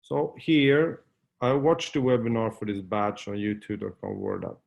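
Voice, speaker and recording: A man whose first language is Swedish, hosting a webinar and walking viewers through steps in a loose, chatty way with many pauses and restarts. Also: fairly low pitch, wildly swooping pitch, gravelly. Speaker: webinar host. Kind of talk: casual screen-share webinar walkthrough.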